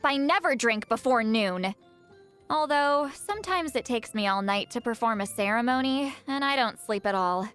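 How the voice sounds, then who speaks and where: A woman voicing a video game seer, youthful-sounding, proud but easily flustered and secretly awkward, in an expressive, haughty and defensive manner. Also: sits high. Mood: casual, haughty. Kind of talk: video game character voice lines.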